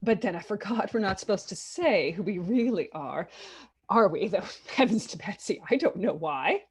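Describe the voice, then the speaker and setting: A woman's voice: mid-range voice, expressive, breathy edge. A woman playing a nervous, chatty politician's wife who gushes in a flustered way in a comedy table read. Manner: gushing, flustered, slightly hysterical rapid chatter. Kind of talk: live table read of a comic film script.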